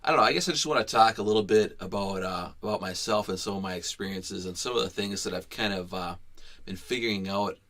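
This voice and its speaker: A man's voice: fairly low pitch, fast talker, fairly bright tone. A man hosting a livestream, talking casually and hesitantly with many fillers and restarts. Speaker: livestream host. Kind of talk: casual unscripted livestream talk.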